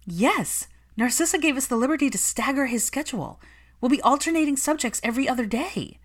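Woman putting on a giddy, high-pitched young nanny witch. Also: a little fast. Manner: animated, perky, sing-song with excitement. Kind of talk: audiobook character voice.

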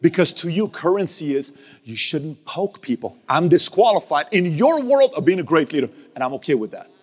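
A man's voice: on the high side, sing-song, moderate pace, breathy edge. Fired-up man preaching in a hall. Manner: emphatic, repeats key phrases, swings from loud to hushed; casual street slang and mild cursing. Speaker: business leader speaking to his team. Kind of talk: motivational speech.

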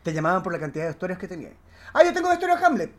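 A man with a Chilean accent tells anecdotes as a guest in a casual conversation. His delivery is animated and slangy. He often halts and searches for the next word. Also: sits high. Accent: Chilean Spanish. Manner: halting, slangy, animated storytelling. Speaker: podcast guest, stage actor.

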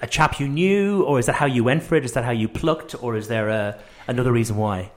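A man's voice: mid-range voice, a little fast. A man hosting a radio interview in a casual, effusive way, rambling and repeating phrases as he goes. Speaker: radio host and producer.

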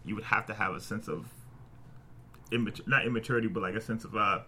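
Fast, bright man with lowish voice. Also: fairly even intonation, slight background noise. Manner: halting, self-correcting. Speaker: panelist at a script table read. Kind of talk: casual panel discussion.

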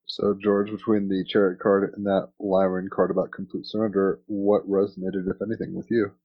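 A man's voice: low-pitched, level tone, normal speed, muffled recording. A man hosting a casual interview, rambling along in laid-back, slangy phrasing. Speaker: interviewer and host.

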